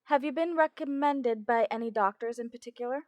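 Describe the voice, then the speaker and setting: A woman's voice: on the high side, fairly quick, darkish tone, dull audio, lightly acted. A woman playing a polite but officious, unbothered hospital receptionist in an old-time radio drama. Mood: politely officious. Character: brisk, unbothered hospital switchboard receptionist, female.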